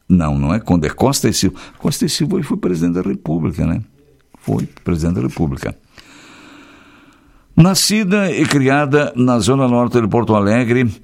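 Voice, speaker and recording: A man with a somewhat low voice reads regional news on the radio. He breaks into casual, folksy remarks between stories. His delivery mixes read copy with easygoing chat. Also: darkish tone. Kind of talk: reading local news with casual asides.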